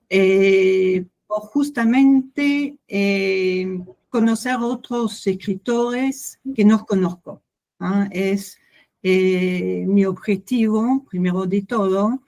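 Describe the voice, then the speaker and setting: Book club guest: French-accented woman, unhurried, hesitant, on a video call. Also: mid-range voice, a little mellow. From France. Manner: hesitant, many fillers. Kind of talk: casual video-call discussion.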